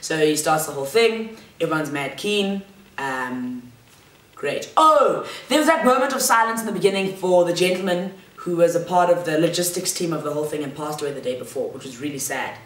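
A woman's voice: sits low, lively intonation, fairly quick. A young woman tells a chatty, slangy storytime vlog, seated in a large living room and filmed by a camera across the room. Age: young adult. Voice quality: loud voice. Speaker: vlogger. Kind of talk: casual storytime monologue. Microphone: fixed camera across the room. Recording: roomy echo from the mic's distance.

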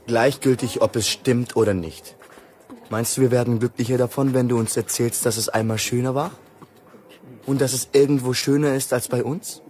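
An actor playing a sceptical, impatient grandson in a radio drama, speaking in a dismissive, emphatic way. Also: male voice, mid-range voice, steady tone, normal speed, darkish tone, slight background noise, lightly acted. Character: sceptical, impatient grandson living in a dark freight wagon.

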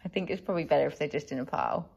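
A woman's voice: low voice, rapid-fire, gravelly, darkish tone, lo-fi sound. An enthusiastic woman in her thirties chatting casually for a home vlog, recorded indoors on a handheld device. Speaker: vlogger. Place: living room and kitchen at home, indoors. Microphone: handheld phone or camera, near.

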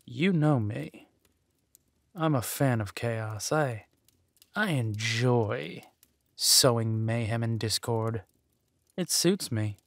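Man acting a slow-talking scheming villain duke. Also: medium pitch, lively intonation, vocal fry, no echo. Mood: sly, scheming. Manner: slow, deliberate, drawling, menacing aristocrat. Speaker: voice actor. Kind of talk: villain roleplay audio drama.

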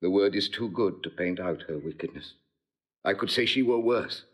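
Low, husky scheming noble villain. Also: male voice. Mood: cold, scheming. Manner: measured, insinuating, formal. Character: cold scheming nobleman villain, slandering brother. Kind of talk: classical Shakespearean audio drama acting.

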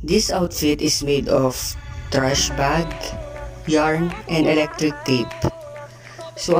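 A contestant walks through how the outfit was made, one step at a time, in plain words. The voice sits at middle pitch. Music plays clearly behind. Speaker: model contestant. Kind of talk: casual voice-over about an outfit. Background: background music.

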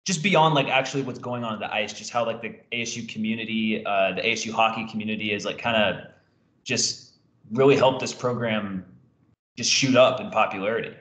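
A man's voice: on the low side, fairly quick, fairly bright tone. A man hosting a casual sports talk show over a video call, with loose, rambling phrasing. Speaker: show host, interviewer. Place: indoor room, video call. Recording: roomy, echoey video call audio.

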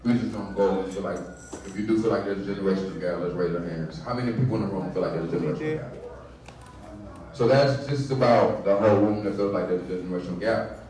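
A man's voice: deep voice, darkish tone. A man moderating an open discussion, calling on speakers in turn. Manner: brisk, organizing who speaks next. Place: hotel conference room. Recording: echoey room, from far off.

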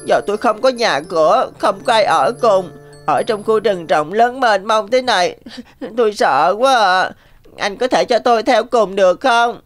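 A woman's voice: medium pitch, animated, a little slow, soft background music, lightly acted. A woman voicing a small, clever cartoon rabbit with an earnest, coaxing manner. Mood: pleading. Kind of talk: animated cartoon dialogue.

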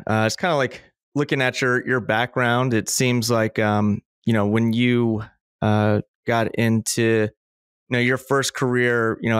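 A young male host asks questions over a video call, his voice somewhat low, level and smooth. The sound is dry and close, and he pauses often with fillers. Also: no echo. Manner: halting, filler-heavy questions. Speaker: podcast host. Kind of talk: casual interview questions. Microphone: studio mic on video call.

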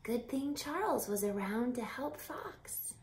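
A woman in her thirties reads a picture book to young children. Her delivery is slow and expressive, with drawn-out words and little asides to the listeners. The recording has the roomy echo of a camera mic in a home. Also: on the high side, slight background noise. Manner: animated, deliberate, drawn-out phrasing with hushed whispers. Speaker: teacher reading a picture book aloud. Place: small home room by a window.

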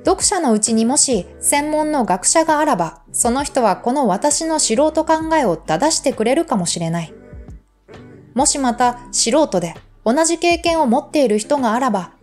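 Female audiobook narrator, high voice, formal measured essay reading over background music. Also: expressive, darkish tone.

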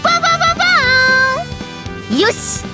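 Very high, hyper anime gal. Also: female voice, animated, slow pace, bright, forceful tone, no room sound, faint music behind, heavily acted. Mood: excited, triumphant. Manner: exuberant, slangy, exclamatory. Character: hyper, playful young summer gyaru heroine. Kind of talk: anime mobile game battle voice lines.

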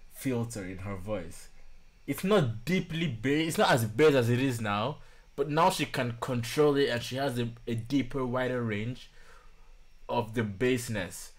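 A man in his twenties giving casual, musing reaction commentary as a video host, often repeating phrases, recorded on a studio mic in a small home room. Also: mid-range voice. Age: 20s.

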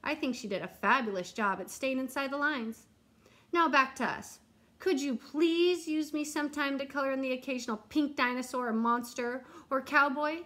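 Sassy storybook pink crayon, pointed and demanding, highish voice, played by a woman. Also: normal speed, fairly bright tone. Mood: affronted. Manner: bossy, pointed.

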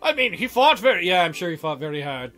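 High, bright-voiced male let's-player. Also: big pitch swings, fast. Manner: jokey, theatrical, breaks into silly mock voices. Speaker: let's-play host. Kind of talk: reading a visual novel aloud with joking commentary. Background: game music.

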